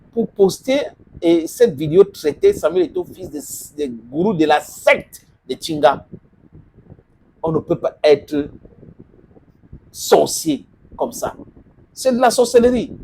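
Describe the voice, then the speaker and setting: Guy ranting about football, gravelly voice, pitch jumping all over, talks down like an older brother. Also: darkish tone, slight background noise, rough recording. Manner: scolding, lecturing, addresses the player directly. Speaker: sports commentator. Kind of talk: opinion monologue on football.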